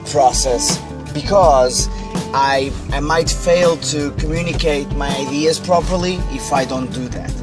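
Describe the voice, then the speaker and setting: A man with a rough, hoarse voice, worn out after a long day of lessons. He chats casually to the camera in a slightly high register, with clear music playing underneath. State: hoarse, tired. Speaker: drum teacher.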